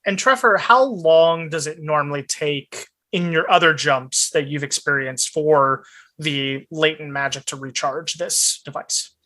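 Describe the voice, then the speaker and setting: A man's voice: on the high side, a little slow, bright, forceful tone. A man narrating a tabletop roleplaying game as game master, improvising descriptive scenes.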